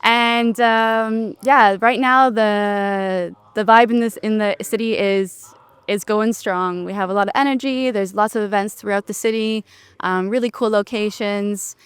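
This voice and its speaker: A woman's voice: medium pitch, steady tone, measured pace, clean tone, no echo. A woman hosting a casual live interview, chatty and upbeat, with frequent fillers. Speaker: interviewer, event host. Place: outdoors on a bench at a city event.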